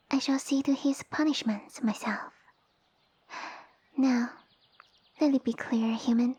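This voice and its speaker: A woman voicing a small, haughty young snake-girl noble in an ASMR roleplay, speaking softly with pompous, formal condescension. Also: high-pitched, husky, no room sound, heavily acted, rough recording. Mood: stern, wounded pride. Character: small haughty young lamia noblewoman, sassy and easily offended. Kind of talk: ASMR audio roleplay acting. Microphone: close dry microphone.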